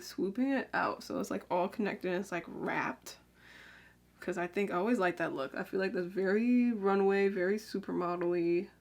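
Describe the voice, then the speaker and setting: A young woman talks to camera at home while applying makeup, loose and rambling, filling pauses with "like" and "um". Her voice sits at a middle pitch and drops softer whenever she leans in to work, then lifts again as she explains. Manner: chatty, rambling, lots of "like" and "um". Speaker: beauty vlogger. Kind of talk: casual get-ready-with-me makeup chat.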